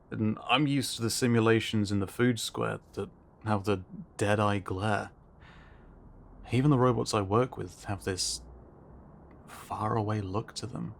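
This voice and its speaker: Man playing low-voiced, jittery wry friend. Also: vocal fry. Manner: hesitant, casual, dryly joking. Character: jittery, easily spooked, wry male friend. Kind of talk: scripted ASMR sci-fi roleplay.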